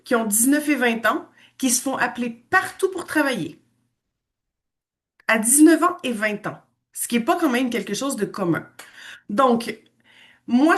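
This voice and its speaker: A woman's voice: a little high, fairly bright tone. A woman in her forties with a Québécois French accent, the director of a language school, presenting earnestly on a video call and repeating phrases for emphasis. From Western Canada. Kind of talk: online presentation.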